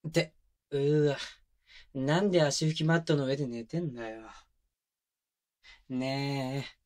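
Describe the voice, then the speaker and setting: A man's voice: steady tone, measured pace, darkish tone, dry recording, close to an everyday voice. A man playing a teasing, doting young boyfriend in an intimate ASMR roleplay, talking casually and playfully with bits of baby talk, close to a binaural mic. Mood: flustered, playful. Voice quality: mid-low voice. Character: teasing, doting young boyfriend babying his exhausted, clingy girlfriend. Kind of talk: intimate ASMR roleplay drama, addressed to the listener. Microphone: close binaural microphone.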